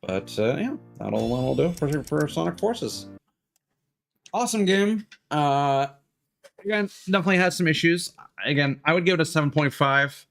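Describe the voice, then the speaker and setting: A man's voice: a little high, animated, normal speed, creaky, fairly bright tone. A man streaming a video game, giving casual commentary in a musing, thinking-aloud way. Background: game music.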